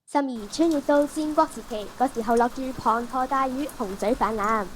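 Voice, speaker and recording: A woman's voice: high-pitched, normal speed, slight background noise, natural voice. A primary school girl with a Hong Kong Cantonese accent narrating a story in dramatic style. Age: child, primary school student.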